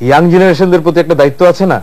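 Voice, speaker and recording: Male actor as teasing bogus inventor, TV comedy guest. Bangladeshi accent, rapid colloquial patter, a little high for a man. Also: a little mellow, close to an everyday voice. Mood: glib, mocking. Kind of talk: scripted comic interview.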